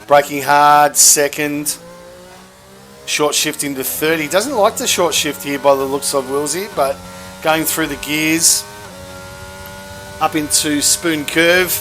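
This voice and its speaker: A man's voice: a little high, steady tone, music in the background, poor audio. Cheeky man calling a sim race. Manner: brisk, cheeky and matter-of-fact. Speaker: commentator. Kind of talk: sim racing lap commentary.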